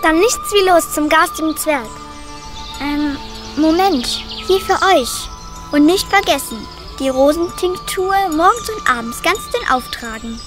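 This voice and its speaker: A woman's voice: sits high, lively intonation, a little slow. A woman acting in a children's radio play, chatty and cheerful, with music behind her. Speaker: amateur radio play actor.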